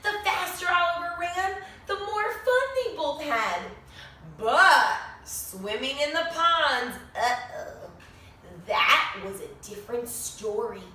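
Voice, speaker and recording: High, echoey woman reading to young children. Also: slow pace. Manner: expressive, sing-song, explains words and asks questions. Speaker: children's author reading own picture book. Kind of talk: animated read-aloud story for young children. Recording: very echoey room, distant mic, faint noise.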